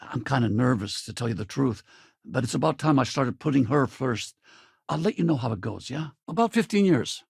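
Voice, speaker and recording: A man playing an easygoing, loyal space pilot companion in a video game, husky and casual, with a wry, battle-ready streak. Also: medium pitch, expressive, a little fast, no room sound, clean studio sound, full character voice. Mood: earnest. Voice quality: gravelly, husky. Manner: casual, colloquial, conversational.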